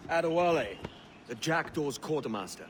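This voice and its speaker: An actor playing a brash, roguish young pirate captain with a Welsh accent in a cinematic video game. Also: male voice, fairly high pitch, lively intonation, normal speed, slight background noise, close to an everyday voice. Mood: friendly. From Wales. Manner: swaggering, salty old seafaring turns of phrase. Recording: music under the voice.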